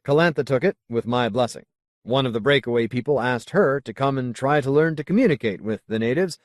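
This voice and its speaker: A man voicing a solemn, zealous colony leader in an audiobook, speaking in a formal, deliberate, oratorical way. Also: mid-range voice, dry recording, clean studio sound, voice pushed up, close to an everyday voice. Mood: explanatory, firm. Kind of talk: audiobook character dialogue.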